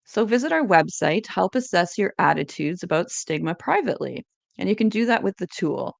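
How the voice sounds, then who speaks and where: Gravelly-voiced woman presenting. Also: on the low side, very animated, fairly quick, no room sound. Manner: scripted, encouraging, list-like delivery. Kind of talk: educational presentation.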